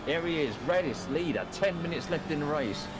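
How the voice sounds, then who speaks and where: A Cockney man in his fifties from East London, commentating a sim race live in a chatty, excitable way, swearing casually. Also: fairly high pitch, a little fast, heavy background noise. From East London, England. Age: 50s. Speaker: race broadcast commentator and streamer.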